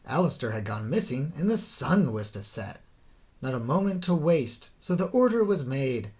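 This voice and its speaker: A man narrating a rhyming children's story in a theatrical, deliberate way, drawing out the ends of phrases. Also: mid-range voice, very animated, a little mellow. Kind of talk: dramatic scripted children's story narration in rhyme.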